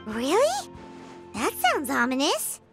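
A woman voicing a tiny, childlike, confident fairy girl in a video game, squeaky and chirpy. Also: huge pitch swings, slow, creaky, heavily acted. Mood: curious. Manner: perky, chirpy. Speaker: video game character voice actor. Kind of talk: scripted game dialogue. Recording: studio voice over game music.

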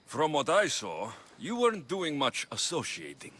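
An actor playing a blunt, skeptical Greek mercenary in video game dialogue, with a dry, challenging manner. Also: male voice, fairly high pitch, huge pitch swings, normal speed, sharp tone, heavily acted.

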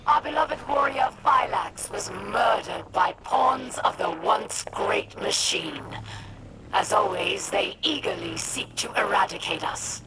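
Gravelly, menacing alien warrior. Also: female voice, mid-range voice, expressive, heavy background noise. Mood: grim, rousing. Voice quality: gravelly, breathy, harsh growl. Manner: slow, menacing declarations. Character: fierce, proud female alien warrior, ruthless and taunting. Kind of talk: video game villain acting. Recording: loud game music and effects behind.

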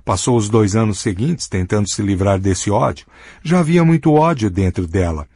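A man with a husky voice reading a novel aloud as an audiobook narrator, giving characters small voice shifts in dialogue. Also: on the low side, expressive, moderate pace, warm tone, dry recording. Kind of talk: scripted narration.

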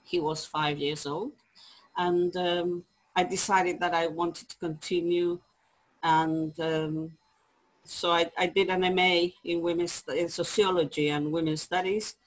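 Chilean woman on a video call, slow. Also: on the low side, flat delivery, low-quality recording. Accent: Chilean Spanish. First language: Spanish. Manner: hesitant, many ums and restarts, laughs easily. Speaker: guest, publisher. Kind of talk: conversational interview. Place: home study with bookshelves.

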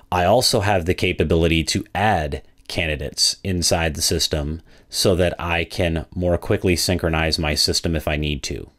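A man narrating a product walkthrough as a software demo presenter, in a methodical, explanatory way. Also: low voice.

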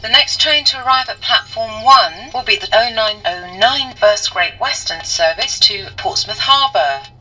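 A woman with a British accent making formal, measured station announcements, phrase by phrase. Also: on the high side, pushed and bright, slightly rough, distant mic, soft background music, poor audio. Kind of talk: recorded public announcements.

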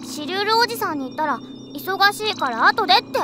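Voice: very high voice, animated, fast talker, very noisy. An actor playing an innocent, eager little boy in a Japanese audio drama, speaking in an earnest childlike way. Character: eager, innocent little boy who admires his big brother figure. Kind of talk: Japanese anime-style audio drama acting.

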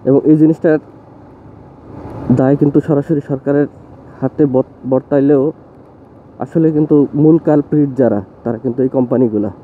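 A man's voice: medium pitch, steady tone, a little fast, pauses often. A young man, talking casually to camera while riding a motorbike on rural roads, loose and opinionated. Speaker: motovlogger. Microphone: phone mounted on motorbike. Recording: thin phone-like sound, faint road noise.

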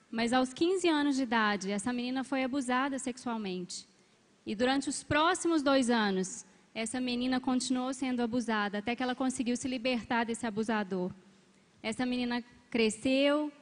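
A young woman speaks with a bright, high voice on a big arena stage, holding a handheld mic. She preaches emphatically and calls out amens and hallelujahs to the crowd. A long hall reverb trails her words. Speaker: couple's coach. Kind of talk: testimony and exhortation at a church conference.

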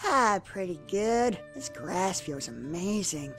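Man playing aloof, sardonic young swordsman, animated. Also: high-pitched, slow, soft background music, exaggerated voice. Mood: mellow, detached. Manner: dry, blunt, sarcastic. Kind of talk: anime parody dub.

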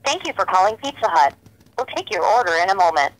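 A woman's voice: medium pitch, very animated, quick pace, poor audio. A woman giving a pizza chain's phone greeting, heard through a phone speaker. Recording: phone playback with faint noise.

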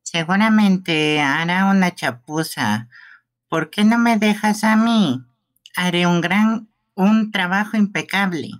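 A performer voicing a creepy young male gravedigger in a live fan dub of a game, whiny and pleading, speaking slowly. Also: mid-range voice, lightly acted.

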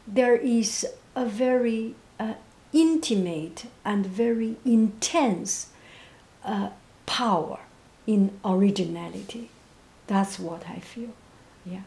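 A woman who is not a native English speaker, answering interview questions reflectively in a quiet, monotone voice with a gentle, halting manner. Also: mid-range voice, vocal fry. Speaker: interviewee, painter and teacher.

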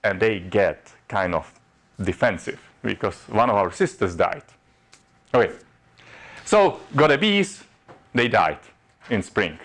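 A man's voice: takes it slowly, long pauses. Czech-accented man giving a chatty workshop. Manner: chatty, self-mocking, rises in pitch when animated. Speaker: workshop presenter. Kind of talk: informal workshop talk. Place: classroom in front of a projection screen. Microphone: far camera.

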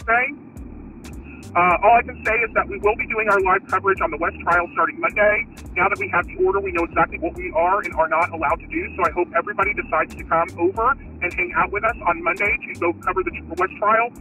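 A woman's voice: fairly low pitch, fast, bright, forceful tone, soft background music, poor audio. A middle-aged woman giving her viewers a casual channel update, recorded inside a car. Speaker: livestream channel host. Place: inside a car, in the driver's seat.

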